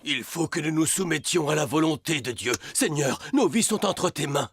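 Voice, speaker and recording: A man's voice: a little high, lively intonation, normal speed, slightly rough, no room sound. An actor voicing a fervent man in a French biblical war cartoon, dramatic and declamatory. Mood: reverent. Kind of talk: French animated cartoon dubbing.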